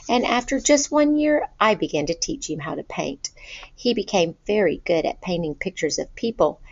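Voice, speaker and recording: A woman reading a children's story aloud in an expressive, deliberate storytelling manner. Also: fairly low pitch. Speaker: storybook reader. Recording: boxy roomy sound, dull lo-fi.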